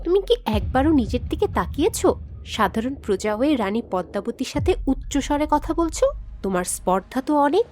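Impostor young queen for a cartoon fairy tale, played by a woman: high, animated delivery, haughty and scornful. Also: brisk, soft background music.

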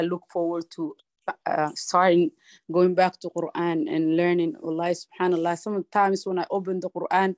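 A woman's voice: on the low side, darkish tone, dry recording. A woman giving informal thanks as a student on a video call, speaking hesitantly. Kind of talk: informal testimonial.

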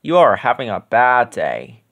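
Reader putting on a young monster friend, lowered pitch, calm, plain-spoken, storybook. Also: unhurried, exaggerated voice. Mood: matter-of-fact.

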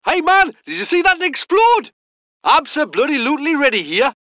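A man voicing a gruff, salty self-propelled gun crewman, delivering game unit lines. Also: extremely high pitch, sing-song, moderate pace, bright, forceful tone, no room sound, exaggerated voice. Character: gruff northern British male gun crewman. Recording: dry close studio recording.

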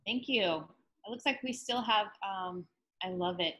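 A middle-aged woman with a husky, breathy edge to a medium-pitched voice moderates a panel. She talks informally over a video call from home. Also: moderate pace, fairly bright tone.

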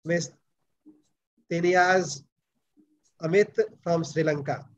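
A man's voice: fairly high pitch, fairly even intonation, slow. A middle-aged man with an Indian accent formally introducing speakers as session host. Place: indoors on a video call. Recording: dull, noisy video-call audio.

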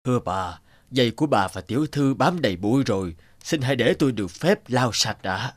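Man playing a stern, animated old sorcerer. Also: medium pitch, no echo, clean studio sound, lightly acted. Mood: courteous, sly. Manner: slow, theatrical, pronouncing. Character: stern old sorcerer, sly, menacing and condemning. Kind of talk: cartoon fairy-tale dubbing.

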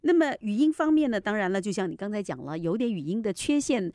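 Female teacher-judge, medium pitch with lively swings, conversational and affirming, giving informal feedback on a close studio mic. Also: fast, no room sound. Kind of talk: casual spoken critique. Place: small radio studio. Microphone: close studio microphone on boom arm.

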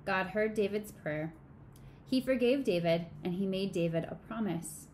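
Woman in her 30s reading a kids' bible story at home, soft expressive voice, camera at desk distance, faint hiss. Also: mid-range voice, measured pace. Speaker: children's sermon co-leader. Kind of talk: reading a storybook aloud to children. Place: small home room.

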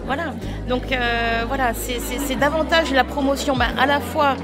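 A middle-aged woman speaks in a fairly high voice, answering interview questions off the cuff. Loud music plays behind her and a dense crowd chatters around the indoor hall. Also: fairly even intonation, normal speed. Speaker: local official being interviewed. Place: busy indoor event hall with bar counter. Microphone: microphone held between interviewer and guest.

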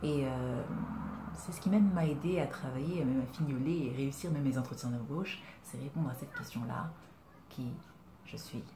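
A young woman from Congo talking to her webcam in a small room, earnest and reflective, pausing often and asking rhetorical questions. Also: a bass voice, dark tone, roomy sound, slight background noise. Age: young adult. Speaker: vlogger. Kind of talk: personal talk to camera. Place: indoors, small room, plain wall. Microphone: webcam at desk distance.

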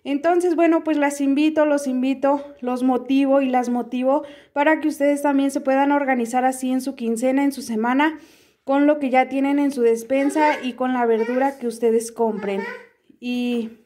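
Casting: young Mexican woman, high bright voice, chatty home vlogger, recorded close at arm's length. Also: steady tone, moderate pace. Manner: chatty, friendly, many fillers and restarts. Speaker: cooking vlogger. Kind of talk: casual chat to camera. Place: small room at home, indoors.

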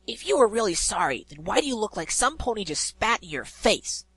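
Man doing a fiery young pegasus mare, loud, shouty, raised pitch, dry sound. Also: sing-song, fairly quick, breathy edge, no room sound, exaggerated voice. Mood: angry. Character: brash tomboyish young female pegasus, hot-tempered. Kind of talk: fan audiobook character voice.

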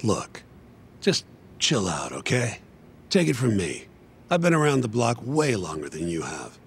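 A jaded middle-aged male lawyer from a game cutscene, blunt and lecturing. His lowish voice moves up and down a lot. It rings in an echoey, reverberant space. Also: sing-song, a little slow, vocal fry, a little hiss or hum, heavily acted. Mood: weary, chiding. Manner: blunt, world-weary, lecturing. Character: jaded, exasperated older male defense lawyer. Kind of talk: video game cutscene acting. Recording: echoey hall reverb, music behind.

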